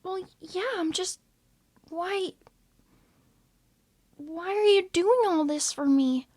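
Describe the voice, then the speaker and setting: A woman voicing a timid, sensitive young male cat who talks softly, in a wavering, breathy way. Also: very high-pitched, slow pace, dry recording, pitched above the performer's own voice. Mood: meek bewildered.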